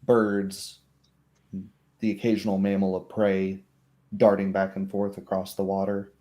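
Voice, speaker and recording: A man working as game master, narrating scenes for a tabletop roleplay in a measured, descriptive way. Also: low voice, monotone, dark tone.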